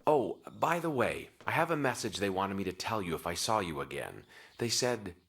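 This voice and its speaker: An actor playing a gruff but kindly, blunt doctor with a husky voice in an anime dub. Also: male voice, fairly low pitch, very animated, normal speed, a bit bright, full character voice. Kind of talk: English anime dub acting.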